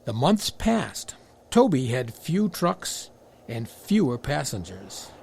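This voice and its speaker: A man's voice: medium pitch, big pitch swings, slow pace, vocal fry, a little mellow, slightly rough. A man reading a scripted children's story as the narrator.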